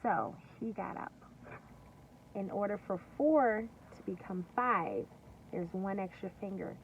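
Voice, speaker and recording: A woman teacher reading a story aloud to young children, expressive and deliberate, pausing to explain and ask questions. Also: medium pitch. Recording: distant, dull lo-fi recording with faint noise.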